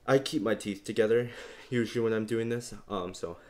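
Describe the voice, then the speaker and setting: A young man with a bass voice hosting a beatbox tutorial in a relaxed, rambling way, full of fillers. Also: a little fast. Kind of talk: casual instructional talk.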